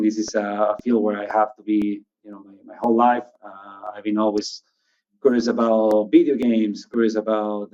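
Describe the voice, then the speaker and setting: A man speaks in a low, very mellow voice. He gives a casual personal introduction as a panelist, over slightly muffled call audio. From Ecuador. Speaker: panelist, technology executive.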